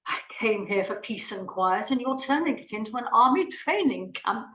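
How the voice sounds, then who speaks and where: A woman's voice: fairly high pitch, animated, moderate pace, bright, forceful tone. A woman playing an imperious, irritable wealthy lady in a play reading, bossy and haughty as she gives orders and complains. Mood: imperious, fed up. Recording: roomy, echoing room.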